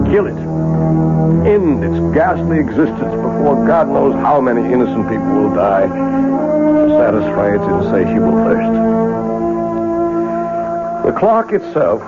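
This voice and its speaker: An actor playing a haunted, irritable clockmaker in a radio drama, dramatic and intense. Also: male voice, mid-range voice. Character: haunted, irritable male clockmaker confessing his dread. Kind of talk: old-time radio drama acting. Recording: tinny vintage radio broadcast, loud music.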